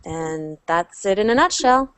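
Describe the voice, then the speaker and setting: Slow, crisp-voiced woman from Oregon. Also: mid-range voice, big pitch swings, a bit bright. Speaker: guest. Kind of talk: casual self-introduction.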